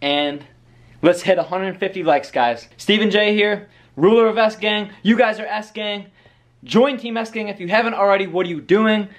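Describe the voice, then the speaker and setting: A young man hosting a prank vlog in casual, slangy chat, his voice strained and nearly gone from shouting. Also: sits high, normal speed. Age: young adult. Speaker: prank video host. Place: living room, indoors. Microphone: camera at arm's length. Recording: echoey room, some background noise.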